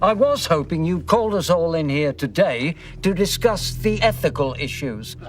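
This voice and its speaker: A man acts out a principled police commissioner in a game cutscene, earnest and formal. His highish voice moves around in pitch and sits off from the mic. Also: lively intonation, moderate pace, recorded from a distance, faint music behind, natural voice. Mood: earnest protest. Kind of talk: dramatic game cutscene dialogue.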